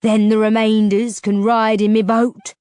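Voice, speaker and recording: A woman voicing a menacing scaly male ferryman who croaks and speaks slowly and threateningly in a throaty rumble. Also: a little mellow, no echo, clean studio sound, natural voice. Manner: slow, drawn-out and threatening. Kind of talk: audiobook character voice.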